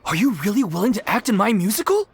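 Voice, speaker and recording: An actor playing an earnest, worried leader of an amateur theater troupe in a video game. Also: male voice, sits high, big pitch swings, fast, gravelly, a little hiss or hum, full character voice.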